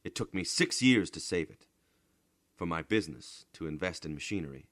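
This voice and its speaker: A man's voice: low voice, expressive, normal speed, a bit bright, no echo, clean studio sound. A man playing a poor junkyard owner in a story, a dreamy, anxious father who speaks earnestly and dramatically. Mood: yearning.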